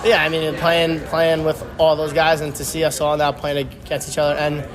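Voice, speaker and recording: A young man, a college athlete, talking casually and haltingly in an interview. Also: a little high, completely flat delivery, a crowd in the background. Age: young adult. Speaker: college lacrosse player.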